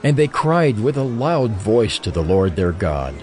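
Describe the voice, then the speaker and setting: A man reading scripture as the narrator of an audio drama, in a measured, formal manner. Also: fairly low pitch, big pitch swings, a little mellow.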